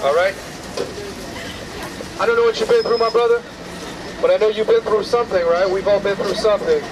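A man's voice: sits high, brisk. A man in his thirties preaching earnestly at a busy outdoor bus stop, pleading directly with passers-by. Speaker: street preacher. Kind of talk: open-air street preaching. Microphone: far camera, no visible mic. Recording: distant outdoor camera audio with street noise.

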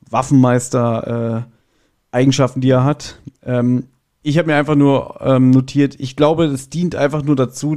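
Mellow man, podcast guest. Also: medium pitch. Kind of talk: casual review chat.

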